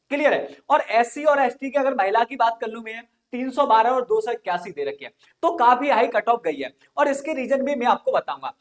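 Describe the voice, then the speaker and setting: A man teaching an informal online exam-coaching lesson, explaining things repetitively and often checking that listeners follow. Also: very high voice. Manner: repetitive, frequent check-in questions.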